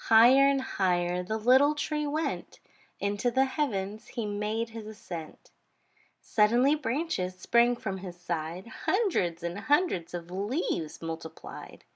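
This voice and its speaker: A woman narrates a rhyming picture book for children. Her pitch sits in the middle and her pace is slow. She reads with expressive, deliberate rhythm, as a storytime reader does.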